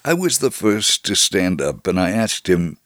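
A man with a fairly low voice reads a first-person story aloud in an earnest, measured way. The sound is dry and close, with no room around it. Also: big pitch swings, a bit bright, no room sound.

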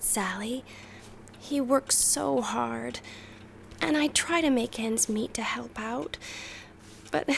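Radio drama: anxious, devoted young wife, high pitch, sighing, hushed moments, some fry. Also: female voice, a little hiss or hum, heavily acted. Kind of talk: stage-style radio drama acting.

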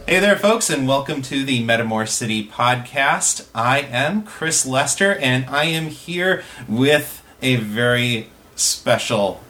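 A man's voice: medium pitch, a bit bright, a little hiss or hum. A man hosting a podcast, giving introductions and chatting casually with his guests. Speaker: podcast host. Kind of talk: scripted introduction and casual round-table chat.

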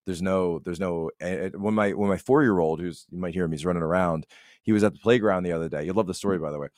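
Deep-voiced man rambling on a podcast. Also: quick pace, a little mellow, dry recording, studio quality. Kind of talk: casual opinionated podcast conversation.

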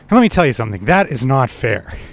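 A man's voice: mid-range voice, big pitch swings, fast talker. A man talking conversationally as a podcast co-host. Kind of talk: conversational podcast talk and opinion monologue.

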